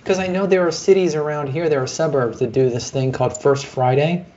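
Mellow-voiced man on a video call. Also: fairly high pitch, a little fast. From Chicago area. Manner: thoughtful, rambling with fillers. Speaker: podcast host. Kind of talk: casual panel discussion. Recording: dull lo-fi video call audio.

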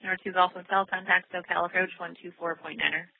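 A woman's voice: lowish voice, steady tone, quick pace, gravelly. A woman working as an air traffic controller, giving radio instructions and casual operational replies. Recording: tinny air traffic radio with faint noise.

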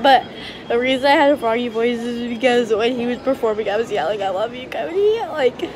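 A teenage girl vlogging on a phone held at arm's length in a busy restaurant, gushing and giggly as she talks fast and excitedly. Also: sits high, noisy background. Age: teens. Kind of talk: excited casual vlog chat. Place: indoor restaurant at a competition venue.

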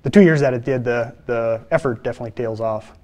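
A man's voice: mid-range voice, fast, a little mellow. A man in his thirties, a fisheries biologist, answering interview questions plainly with many filler uhs. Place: studio, seated.

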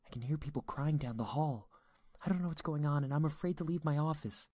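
A man's voice: on the low side, fast talker, darkish tone, no room sound, clean studio sound, lightly acted. A man voicing a frightened adult woman in an audiobook drama, pleading with shaky, panicked urgency. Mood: scared, hushed.

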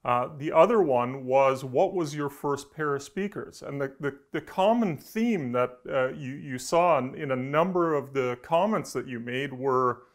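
A middle-aged man, explaining informally to camera as an engineer, in a halting, conversational way with repeated words. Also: medium pitch. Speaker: audio engineer presenting. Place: indoor studio with backdrop.

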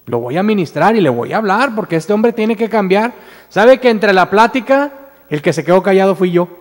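A Mexican man preaches from a pulpit with a high, lifted voice, telling his story earnestly and often restarting on repeated words before building to emphatic declarations. His voice carries into a church hall with a long, ringing reverb. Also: expressive, moderate pace. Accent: Mexican Spanish. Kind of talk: sermon. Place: church sanctuary with stage, indoors. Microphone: standing mic at pulpit.

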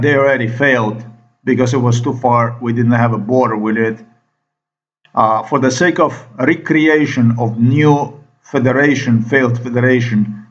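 A man from Slovenia with a Slovenian accent, giving an unscripted monologue in a halting, emphatic and profane manner. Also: mid-range voice. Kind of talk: unscripted monologue commentary over screen recording. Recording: dull lo-fi microphone sound.

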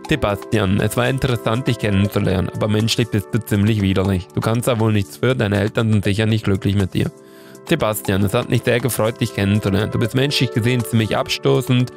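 A young man with a cold chats excitedly into a close mic while he plays a quiz game. He reads each answer out and swears casually when he gets one wrong. Music plays clearly underneath his voice. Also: on the low side, a little fast. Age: young adult. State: has a cold. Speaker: streamer playing a meme quiz. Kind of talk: casual gaming commentary. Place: indoor room at a desk. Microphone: studio mic near the face. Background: background music.

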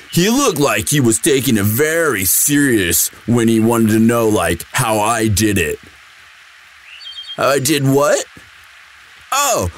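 A man's voice: medium pitch, slight background noise. A young man playing a sweet, dim, eager athlete boyfriend in a casual, intimate audio roleplay, with a slangy, drawling delivery. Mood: fond, teasing.

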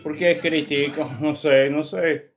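A man's voice: a little high, steady tone, noisy background. Upbeat Portuguese man in a gym. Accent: European Portuguese. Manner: brisk, motivational, answers questions aloud. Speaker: gym vlogger. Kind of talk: casual self-talk vlog. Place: indoor gym. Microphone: phone held close, low angle.

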